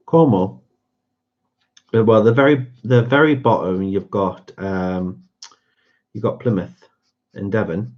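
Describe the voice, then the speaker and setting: A man's voice: fairly low pitch, slow pace, long pauses. A man with a North East England accent, chatting casually as host of a colouring livestream, rambling and hesitant with many fillers. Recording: dull lo-fi sound.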